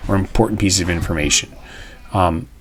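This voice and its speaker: Male teacher for a read-aloud lesson: very deep voice, informal explanatory asides, slightly noisy recording. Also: a little fast. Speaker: history teacher.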